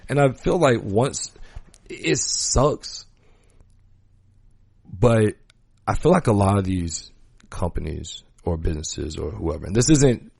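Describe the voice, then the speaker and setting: A man with a low voice talks casually as a podcast host in a dry-sounding space. He is earnest and opinionated, leaning on slang and repeating his points for emphasis. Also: lively intonation, slow pace, vocal fry, long pauses, darkish tone, breathy edge, no echo. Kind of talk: casual conversational podcast talk.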